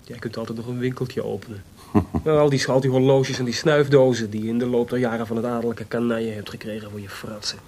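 An actor playing a frank, sensible composer in a radio drama, with a husky voice and a plain-spoken, reproachful manner. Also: male voice, medium pitch, steady tone, fast talker, darkish tone, slight background noise, natural voice. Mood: wry. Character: frank, sensible composer, disappointed but loyal friend.

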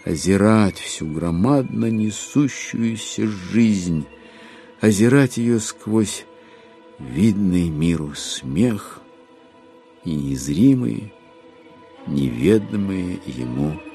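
A male narrator with a lowish voice delivers literary prose in a theatrical, declamatory way. He takes his time with long pauses, and his intonation rises and falls widely over faint music. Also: warm tone.

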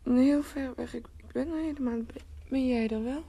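An actress playing a groggy, hungover, querulous woman in a Dutch radio play, with a husky, creaky voice and weary, complaining manner. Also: sits high, fairly quick, warm tone. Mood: groggy, suffering.

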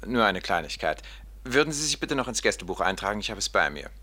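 A man's voice: lowish voice, very animated, fast, pushed and bright, exaggerated voice. A man playing a formally polite, insistent doctor who runs an institution, in a 1950s radio drama. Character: courteous, insistent, suspicious doctor running an institution. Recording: noisy old 1950s radio recording.

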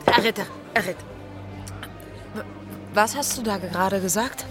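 A woman's voice: medium pitch, unhurried, over loud music, lightly acted. An actress playing a bold, cocky young woman pirate in a radio drama, playful and direct. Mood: urgent.

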